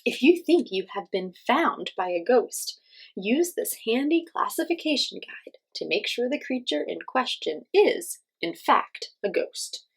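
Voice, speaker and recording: A woman's voice: on the high side, big pitch swings, measured pace, gravelly, husky, poor audio. A woman hosting a children's read-aloud, reading a picture book expressively and speaking directly to young listeners with simple questions and explanations.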